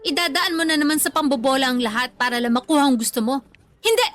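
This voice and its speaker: A woman's voice: sits high, animated, fast, a bit bright. A woman playing a scolding, worried mother in a Tagalog radio drama, with a nagging, exasperated way of talking. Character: scolding, worried mother of a hard-drinking young son.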